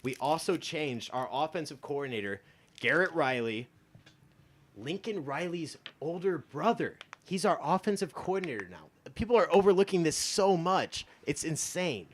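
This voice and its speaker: A man's voice: a little high, expressive, moderate pace, a little hiss or hum. A man in his twenties with an American accent, chatting casually about sports as a show guest, emphatic, opinionated and loose with swearing. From United States, born in Texas and from Iowa.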